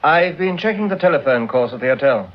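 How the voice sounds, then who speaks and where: An actor with a British accent playing a dutiful, deferential police inspector in a vintage radio drama. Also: male voice, mid-range voice, lively intonation, brisk, natural voice. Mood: earnest, reporting. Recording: dull old radio drama recording.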